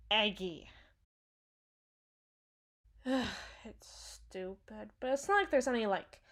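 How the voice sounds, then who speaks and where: Slow, sardonic henchwoman acted by a woman. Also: medium pitch. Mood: flustered, sardonic. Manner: slow, brooding, sardonic, dropping into breathy whispers. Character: cynical, menacing woman henchman with a hidden soft spot. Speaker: audio roleplay performer. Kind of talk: ASMR roleplay drama.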